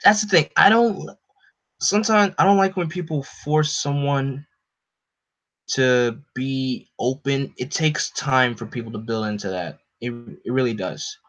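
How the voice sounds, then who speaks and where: Deep-voiced young man, rambling stream chat. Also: measured pace, fairly bright tone. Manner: rambling, lots of "like" and "you know"; reads out and answers chat. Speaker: livestream host. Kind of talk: casual chat. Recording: dull lo-fi stream audio.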